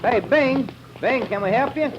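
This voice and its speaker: A man's voice: very high voice, a little slow. An actor playing an eager, folksy, girl-chasing sidekick in a 1940s radio adventure, with slangy, drawling talk. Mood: concerned. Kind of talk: 1940s radio drama acting. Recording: noisy muffled 1940s radio recording.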